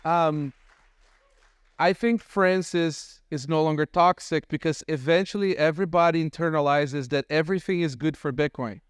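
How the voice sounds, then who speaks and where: A man on a conference panel speaking in dry, deadpan quips. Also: a little high, moderate pace, smooth, no echo. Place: conference stage.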